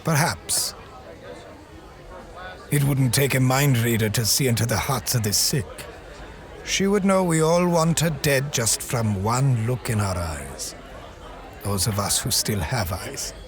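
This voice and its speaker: An actor playing a cautious, sharp-tongued companion in an audio drama, with a dry, needling manner. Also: male voice, mid-range voice, expressive, a little slow, long pauses, close to an everyday voice. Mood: grim. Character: wary, sharp-tongued male companion of a monster hunter.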